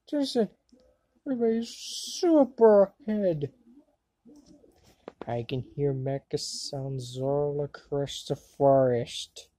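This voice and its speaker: A woman plays a chatty young student wizard from an anime fandub. The voice is mellow and unhurried, in a dry, close recording. The delivery is wry and exclamatory. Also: medium pitch, no echo. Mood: excited, calling out. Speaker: amateur fandub voice actor. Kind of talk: anime fandub character acting.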